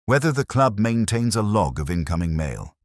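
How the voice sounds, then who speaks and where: A man voicing a calm, courteous police inspector in an audiobook mystery, formal, dryly understated and quietly probing. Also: lowish voice, normal speed, no echo, voice pushed up. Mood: polite inquiry.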